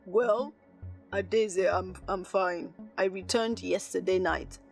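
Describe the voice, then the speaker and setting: Folktale role: slow-talking, charming young village man, flattering suitor, voiced by a female narrator, soft music behind. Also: mid-range voice, gravelly. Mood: casual.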